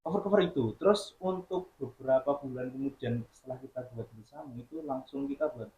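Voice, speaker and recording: A man's voice: medium pitch, a little fast. A man from Central Java, a band member guest chatting casually and laughing often. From Central Java, Indonesia. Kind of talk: casual chat. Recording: slightly roomy, muffled radio studio sound.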